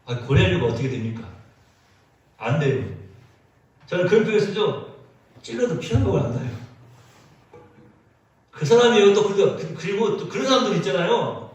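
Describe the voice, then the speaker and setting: Male pastor, expressive sermon delivery, slightly high voice, heard from a distance in a reverberant church. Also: moderate pace, pauses often. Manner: animated, storytelling with acted quotes. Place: church sanctuary. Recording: echoey church sound from a distance.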